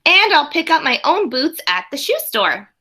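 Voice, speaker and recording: A woman's voice: high voice, very animated, normal speed, bright, forceful tone. A woman teaching young children, reading a storybook aloud expressively and chatting to them in conversational asides. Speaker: early primary school teacher.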